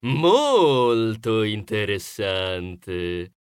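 Man putting on a smug, brash storybook rodent, lively pitch. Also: a little slow, dry recording, clean studio sound. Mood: cocky, taunting. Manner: boastful, condescending, cutesy diminutives. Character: smug, brash male rodent neighbour, boastful and mocking.

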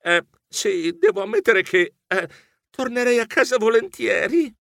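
A man's voice: high-pitched, huge pitch swings, normal speed, a bit bright, no echo, studio quality, voice pushed up, full character voice. A man playing a timid, fussy, easily flustered male rodent hero in an audio storybook, theatrical and whiny. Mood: reluctant.

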